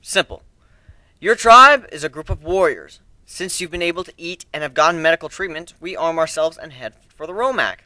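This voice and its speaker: A man's voice: fairly high pitch, animated, fairly quick, sharp tone, no echo, voice pushed up, low-quality recording. A man playing a confident, commanding male pirate crew leader in a fantasy story reading, assertive and reasoning.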